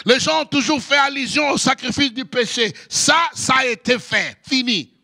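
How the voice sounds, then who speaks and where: A male pastor, a native English speaker, preaching a sermon in French with impassioned shouts and sing-song cadences. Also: extremely high pitch, moderate pace.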